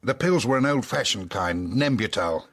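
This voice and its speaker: A chummy British man, passes on information in a radio play. His voice is medium in pitch and his delivery colloquial and matter-of-fact. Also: animated, moderate pace, fairly bright tone. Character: chummy, informal male police contact. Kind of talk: radio drama acting.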